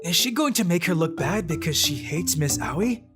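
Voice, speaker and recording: A dry, secretly brilliant schoolboy, voiced by a man, talking through his thoughts in a flat, wry monologue. Music plays clearly underneath. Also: a little high, normal speed, close to an everyday voice. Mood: deadpan. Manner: deadpan inner monologue. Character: dry, secretly genius teenage boy student.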